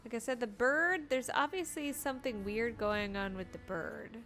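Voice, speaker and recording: A woman doing casual, expressive live gameplay commentary, talking to herself and to the game as she plays. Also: a little high, normal speed, soft background music. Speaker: game streamer.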